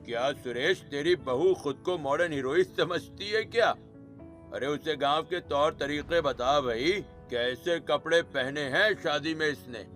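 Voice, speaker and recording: A man voicing an indignant villager in an animated story, disapproving and accusatory as he shames others. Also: a little high, measured pace, faint music behind. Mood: mocking, disapproving. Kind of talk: scripted Hindi animated story dialogue.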